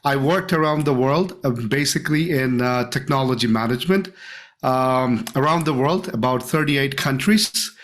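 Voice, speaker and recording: A man's voice: mid-range voice, normal speed, a bit bright. A middle-aged man from Canada introducing himself informally and enthusiastically to classmates on a group video call. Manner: enthusiastic, chatty. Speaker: course student.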